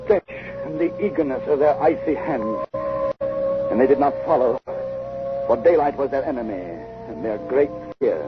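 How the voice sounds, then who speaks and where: Man playing a low-voiced, grave old-radio adventurer. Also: animated, loud music behind the voice, natural voice. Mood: grave, haunted. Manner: dramatic, halting, heavy with dread. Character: fearful, grave male friend narrating a time-travel ordeal. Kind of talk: golden age radio drama acting and narration. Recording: dull vintage radio recording.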